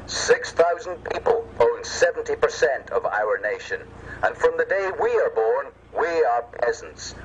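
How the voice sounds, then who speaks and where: A man's voice: mid-range voice, a bit bright. A man giving a scripted political speech in a solemn, declarative manner, as a campaigner. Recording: dull lo-fi sound, faint noise.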